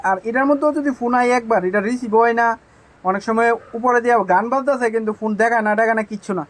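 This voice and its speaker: A man's voice: very high voice, rough recording. A man with a Tripura Bengali accent giving an informal phone repair demonstration as a technician, with repetitive, emphatic assurances.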